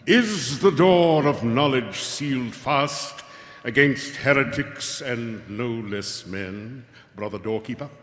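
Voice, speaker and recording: Scheming cult leader, grandiose ceremonial radio-play delivery, wide swoops, big echoing hall. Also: male voice, mid-range voice, lively intonation, takes it slowly. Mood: solemn, ceremonial. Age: middle-aged. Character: pompous, scheming male grand master of a secret cult. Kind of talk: live radio-theatre acting. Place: stage in a large hall. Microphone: stand microphone. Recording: echoey live stage hall.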